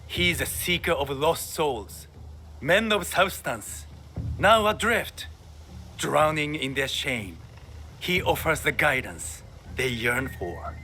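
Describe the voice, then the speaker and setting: A man's voice: fairly high pitch, expressive, slow, sharp tone, a little hiss or hum, heavily acted. A man playing a grandiose, zealous samurai recruiter in a video game cutscene, talking in a florid, ingratiating, theatrical way.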